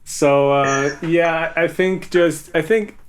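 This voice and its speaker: A man's voice: on the high side, fairly even intonation, slow pace, smooth. A man hosting a casual group Q&A, reading out questions and answering in a hesitant, self-correcting way. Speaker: panel host and musician reading out fan questions. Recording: compressed group call audio.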